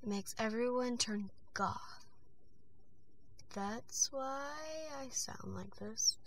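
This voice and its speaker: Woman voicing a hushed, dreary goth reaper girl. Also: fairly high pitch, recorded from a distance, a little hiss or hum. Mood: dreary, subdued. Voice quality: hushed, breathy, partly whispered. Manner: slow, dreary. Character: dreary, gothic teenage girl reaper of death. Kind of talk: amateur cartoon voice audition.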